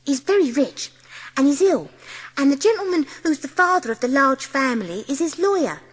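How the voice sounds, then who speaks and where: A woman's voice: high-pitched, big pitch swings, moderate pace, big-room sound, pitched above the performer's own voice. A woman voicing a humble, chatty young servant girl with uneducated dialect speech in a storybook. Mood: excited, confiding.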